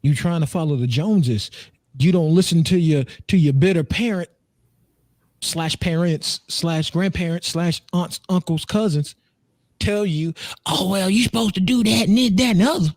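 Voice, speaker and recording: A man's voice: high voice, normal speed, a little mellow, no echo, studio quality. An American man in his thirties chatting casually as a co-host, joking, repeating himself and swearing freely. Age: 30s. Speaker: podcast co-host and streamer. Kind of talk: casual group chat.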